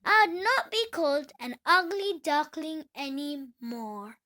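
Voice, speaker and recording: A woman reader acts out a lonely young duckling from a children's tale. His voice is high, gentle and plaintive, with an earnest, humble way of speaking. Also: expressive, a little slow, heavily acted. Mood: joyful relief.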